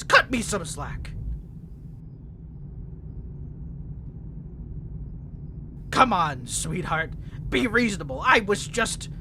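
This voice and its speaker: A man's voice: sits high, bright, forceful tone, slight background noise, exaggerated voice. A man voicing an unhinged, ancient imprisoned vampire who is flirtatious, needy and manipulative, talking in a theatrical, rambling, teasing way in an audio roleplay. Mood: pleading, cajoling. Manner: theatrical, rambling, teasing, swinging between smug and pleading. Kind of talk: dramatic character audio roleplay.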